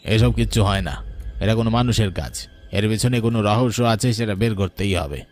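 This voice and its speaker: A skeptical police officer of a horror cartoon, played by a male actor, has a fairly low voice. He sounds authoritative, dismissive and determined. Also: brisk, darkish tone, lightly acted. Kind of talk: Bengali horror cartoon acting.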